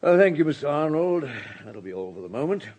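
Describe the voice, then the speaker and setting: An actor playing an unhurried, courteous French police superintendent in a radio drama, asking questions in an understated, authoritative way. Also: male voice, fairly high pitch, sing-song, darkish tone. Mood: businesslike. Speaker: police detective.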